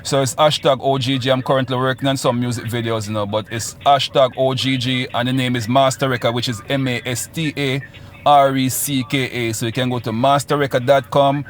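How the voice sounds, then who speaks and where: Quick-talking Jamaican man, boastful. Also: medium pitch, fairly even intonation. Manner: boastful, rambling, patois-tinged. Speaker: guest musician. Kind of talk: radio interview chat.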